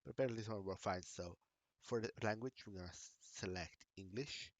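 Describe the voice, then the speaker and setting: Low-voiced Slovenian man, halting delivery. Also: no room sound. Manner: hesitant, halting, self-correcting. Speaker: tech streamer. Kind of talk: live screen-share tutorial.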